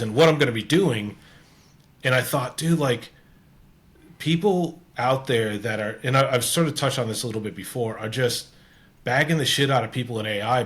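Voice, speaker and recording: A man's voice: medium pitch, a little fast. A man with a Canadian accent hosting a casual interview, talking earnestly and rambling with many restarts. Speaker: podcast host.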